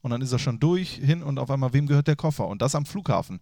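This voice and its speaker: A man's voice: mid-range voice, animated, quick pace, gravelly, mellow, no room sound, studio quality. A man interviewing a guest in a casual, jokey way, often correcting himself mid-sentence. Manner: jokey, chatty, self-correcting.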